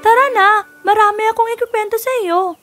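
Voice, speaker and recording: High-voiced chatty young princess played by a woman. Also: fairly even intonation, a little fast, fairly bright tone, soft background music. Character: chatty, knowing young princess acting as guide. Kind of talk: animated fairy-tale dubbing.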